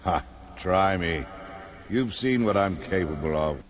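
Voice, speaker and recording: Deep, echoing, haughty god. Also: male voice, animated, a little slow, slight background noise. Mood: stern, haughty. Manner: imperious, formal. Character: righteous, haughty male god, protective and stern. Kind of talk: video game cutscene acting.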